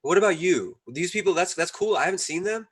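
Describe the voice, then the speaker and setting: Fast-talking, emphatic man, close dry sound. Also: sits high, breathy edge, dry recording. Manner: emphatic, earnest, opinionated. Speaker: panelist in an online diet debate. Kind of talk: casual live debate.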